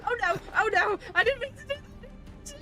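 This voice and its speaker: A woman's voice: very high-pitched, animated, moderate pace, pushed and bright. A woman giving casual, playful live commentary as she plays a video game, talking to herself and exclaiming. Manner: playful, self-narrating, exclamatory. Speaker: game streamer. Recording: game music playing behind the voice. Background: game music.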